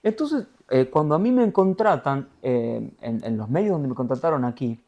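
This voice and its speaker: Animated Argentine man telling stories. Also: mid-range voice, normal speed, lo-fi sound. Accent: Argentine Spanish. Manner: animated storyteller, voseo, acts out quotes. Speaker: guest, TV news anchor. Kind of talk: conversational interview.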